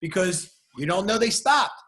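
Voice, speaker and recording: Emphatic high-voiced man on a video call. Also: normal speed. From United States. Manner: animated, emphatic storyteller. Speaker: guest, salon owner and hairstylist. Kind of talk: casual interview chat.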